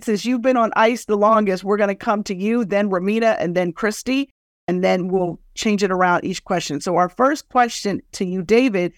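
A woman's voice: mid-range voice, no echo, clean studio sound. A woman hosting a podcast, moderating a panel in a conversational way. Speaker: podcast host.

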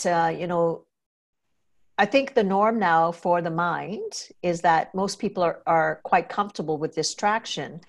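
A woman from Trinidad and Tobago hosts a podcast, talking in a relaxed conversational way. Her voice sits fairly low for a woman. She brings in stories and acts out the quoted lines. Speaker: podcast host and consultant.

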